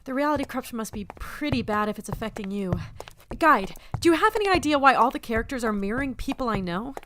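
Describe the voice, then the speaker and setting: A woman playing a feisty, flustered young office newcomer in an audio drama, excitable, sarcastic and prone to stammering. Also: on the high side, fast talker, a little hiss or hum. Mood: puzzled.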